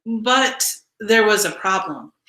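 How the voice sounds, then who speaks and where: A woman reading a children's picture book aloud in an expressive, deliberate storytelling style. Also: mid-range voice, reverberant, poor audio. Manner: measured, deliberate storytelling delivery with emphasized phrases. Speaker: storybook reader.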